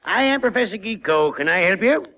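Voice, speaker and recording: A man playing an eccentric, comically solemn quack professor in a 1940s radio comedy, with deliberate dramatic delivery. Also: high voice, expressive. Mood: officious. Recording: muffled old radio, studio audience.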